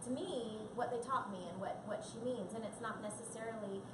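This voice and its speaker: Earnest high-voiced woman in an echoey shop. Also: a little fast. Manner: earnest, chatty, many fillers. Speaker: voice actress guest on a panel. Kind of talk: live panel talk. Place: coffee and comics shop event. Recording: echoey room, distant mic, crowd noise.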